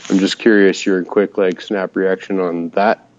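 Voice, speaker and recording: A man's voice: sits low, little pitch movement, a little slow, darkish tone, highs cut off. A man calling in to a podcast from outdoors in the cold, sniffly, polite and hesitant, full of fillers. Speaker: caller. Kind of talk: casual phone-in question.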